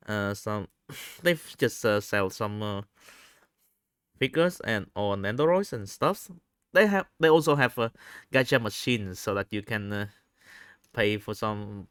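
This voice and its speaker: A man talks in a casual, unscripted audio diary, haltingly and often repeating his phrases. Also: lowish voice, a bit bright, smooth, no room sound, studio quality. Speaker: vlogger recording an audio diary. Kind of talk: casual unscripted personal monologue.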